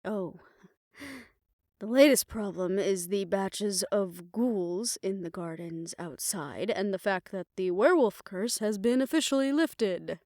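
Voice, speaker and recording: A woman plays an aging, proud female fixer who is worn down but fond of her lover. She speaks slowly and softly with wry understatement, slipping toward a whisper. The sound is dry and intimate, as in an audio roleplay drama. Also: mid-range voice, no room sound, natural voice. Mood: wry, confiding.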